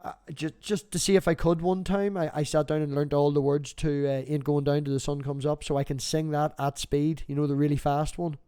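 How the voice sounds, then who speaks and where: Male, middle-aged, slightly high register, casual and sweary livestream talker who spins anecdotes. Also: steady tone, fairly quick, few pauses, dark tone, clear, resonant tone. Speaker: livestream host.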